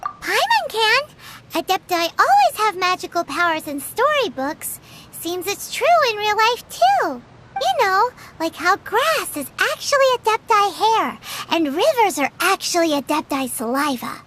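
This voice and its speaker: An actress voicing a tiny, cheerful, childlike fairy companion in a game, with a squeaky, bubbly, naive delivery. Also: female voice, very animated, unhurried, a little hiss or hum, heavily acted. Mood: curious, chatty. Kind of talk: cartoonish game voice acting. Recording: loud game music behind.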